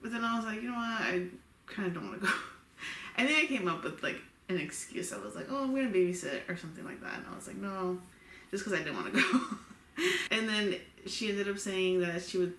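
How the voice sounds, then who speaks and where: A young woman with a slightly low voice tells stories in a relaxed, giggly way, slipping into quick impressions of what people said. The room is echoey, with a faint hiss behind her. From Los Angeles, USA. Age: 20s. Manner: easygoing, giggly storyteller. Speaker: vlogger co-host. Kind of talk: casual couple Q&A chat.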